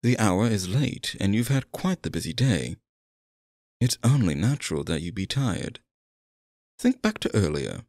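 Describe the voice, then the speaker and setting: A man playing a sinister, possessive, courtly stage magician in an ASMR roleplay, coaxing the listener with theatrical, drawn-out phrasing. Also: lowish voice, very animated, a little mellow, no echo, clean studio sound. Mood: suave, showy.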